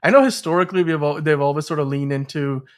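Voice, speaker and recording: A man's voice: fairly high pitch, quick pace. Man chatting casually, rambling and enthusiastic. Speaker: podcast co-host. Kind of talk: casual film and TV discussion.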